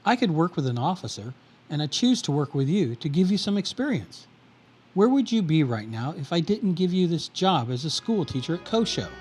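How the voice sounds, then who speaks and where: A man's voice: a little high, big pitch swings, moderate pace, darkish tone, a little hiss or hum. An actor playing a controlling male spymaster who speaks condescendingly and insistently. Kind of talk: audio drama acting.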